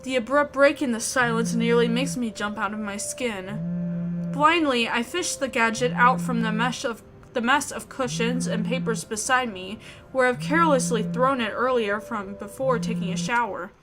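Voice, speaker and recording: A woman reading a story aloud in an expressive, theatrical way. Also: fairly high pitch, moderate pace. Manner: theatrical, emotive. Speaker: video game player reading a visual novel aloud. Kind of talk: expressive dramatic read-aloud narration. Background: background music.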